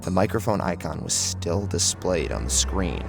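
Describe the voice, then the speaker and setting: A man's voice: low voice, expressive, moderate pace. A man narrating a first-person horror story in an intense, theatrical, scripted style. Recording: clear music bed under narration.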